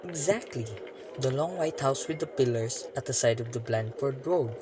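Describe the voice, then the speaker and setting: A man's voice: medium pitch, normal speed, natural voice. A man playing a chatty, gossipy village innkeeper in a radio drama, rambling in an insinuating way. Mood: gossipy, sly. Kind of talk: hobby radio drama acting. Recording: clear background noise and ambience.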